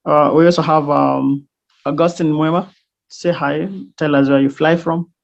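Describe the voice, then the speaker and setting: A man's voice: on the high side, fairly even intonation, moderate pace, creaky. A man, hesitantly introducing people in an online meeting. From Kenya. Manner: hesitant, many fillers and restarts. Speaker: student organizer. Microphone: video call. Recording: dull lo-fi video call audio.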